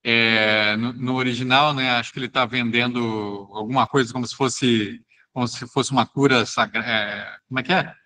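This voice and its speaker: A man's voice: medium pitch, steady tone, normal speed, bright, forceful tone. A man leading an online class discussion over a video call, asking questions hesitantly and searching for words.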